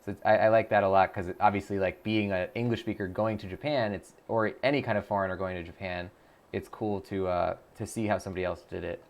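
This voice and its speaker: A man with a low voice and a gravelly, crackly edge talks through a live stream in a loose, rambling way. He thinks out loud, trails off and restarts sentences. He reads viewer comments aloud and answers them as he goes. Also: fairly even intonation, fast. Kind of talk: casual live-stream chat while practicing a language app.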